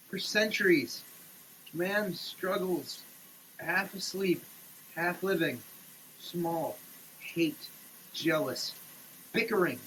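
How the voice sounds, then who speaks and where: A man giving a theatrical, declamatory dramatic reading, swinging between hushed delivery and shouting, as a comic performance. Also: a little high, a little hiss or hum, low-quality recording. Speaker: sketch comedy performer. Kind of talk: dramatic reading of a product label. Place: shower.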